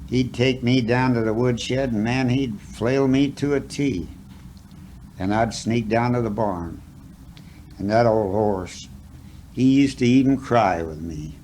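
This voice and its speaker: A man's voice: lowish voice, a little mellow, slight background noise. A man reciting a cowboy poem as a dramatic story, in a folksy, rustic manner. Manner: folksy, drawn-out phrasing with rustic dialect.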